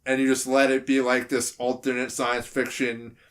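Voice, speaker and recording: Male panelist, middle pitch, casual opinionated podcast talk, somewhat halting and repetitive. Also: completely flat delivery, a bit bright.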